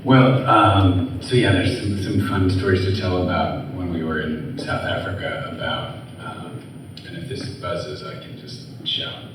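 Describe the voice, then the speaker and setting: A man's voice: low-pitched, steady tone, unhurried. A man from the US, an author telling personal stories onstage, talking in a rambling way with many fillers and restarts. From USA. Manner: rambling, filler-heavy, self-correcting, laughs easily. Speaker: author and interview guest. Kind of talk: personal storytelling in an onstage conversation. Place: large hall at a live event. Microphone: handheld microphone, trouble with the sound. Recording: echoey hall from far off, audible noise.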